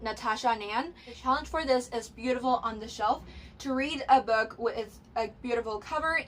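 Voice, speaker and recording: A young woman whose first language is Cantonese, chatting casually to camera from her bedroom, with lots of fillers and restarts. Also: a little high. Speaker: booktuber. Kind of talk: casual bookish chat to camera. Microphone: camera mic.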